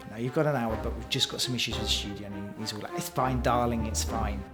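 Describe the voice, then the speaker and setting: Mid-pitched man reminiscing over music. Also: steady tone, fast talker. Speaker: actor, writer and director. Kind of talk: interview reminiscence. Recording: music playing behind the voice. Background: background music.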